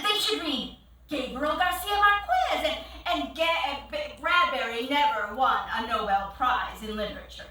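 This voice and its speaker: A woman's voice: high voice, pushed and bright, exaggerated voice. A woman playing a haughty, condescending literary snob in a theatrical staged play reading. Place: indoor theatre stage, far from the camera. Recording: echoey theatre, distant camera, faint noise.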